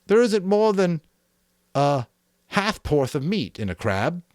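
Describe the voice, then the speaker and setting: A man's voice: high-pitched, very animated, takes it slowly, a little mellow, dry recording, studio quality, pitched above the performer's own voice, exaggerated voice. A man doing a timid, whiny boy. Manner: wetly, whiny, pleading. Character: timid, wet-voiced fat boy, fussy, put-upon.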